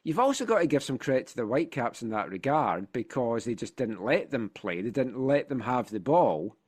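Man, podcast host, chatty storyteller, slightly congested, animated pitch. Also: moderate pace, breathy edge. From Scotland. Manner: chatty, storytelling, frequent restarts. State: congested from a sinus infection. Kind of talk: casual football podcast chat.

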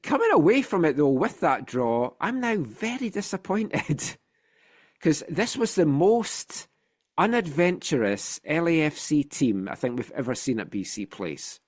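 Animated Scottish man, slightly congested. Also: on the high side, unhurried. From Scotland. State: slightly congested from a sinus infection. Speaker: football podcast host. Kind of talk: casual sports podcast chat.

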